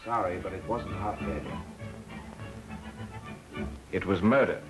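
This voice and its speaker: An actor playing a cool, observant amateur sleuth in a 1930s mystery film, matter-of-fact and unflappable. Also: male voice, sits low, unhurried, music in the background. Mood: cool, explaining. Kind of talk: 1930s Hollywood film acting. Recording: vintage 1930s film soundtrack.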